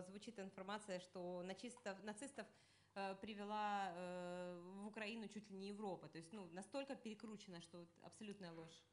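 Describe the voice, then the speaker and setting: A woman speaking on a conference panel in a measured way, pausing with fillers. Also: mid-range voice. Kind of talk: conference talk.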